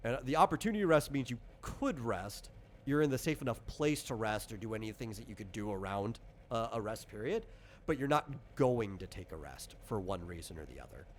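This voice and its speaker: A man's voice: on the low side, expressive, fairly quick, slight background noise. A man casually explaining tabletop game rules as designer and game master, talking informally with examples.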